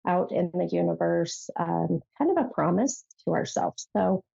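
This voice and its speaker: Nasal, gravelly-voiced woman psychic host. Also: lowish voice, expressive, normal speed, mellow, muffled recording. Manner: chatty, rambling with many fillers. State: nasal from a head cold. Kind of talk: casual tarot call-in talk.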